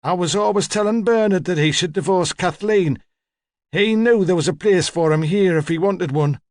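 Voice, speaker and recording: A man voicing a haughty, demanding old woman with a hoarse, rasping voice, in an audiobook story. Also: sits high, normal speed, dry recording, clean studio sound, voice pushed up, lightly acted. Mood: proud, probing. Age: older. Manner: demanding, curt, imperious. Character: sharp, haughty, opinionated old woman, large and deaf.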